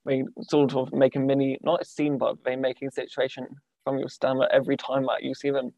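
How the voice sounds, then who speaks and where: A man's voice: mid-range voice, monotone, fairly quick, gravelly, mellow, no room sound. A young man hosting a podcast, talking hesitantly with many "like"s and false starts. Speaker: podcast host. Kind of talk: conversational interviewing. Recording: dull lo-fi dry sound.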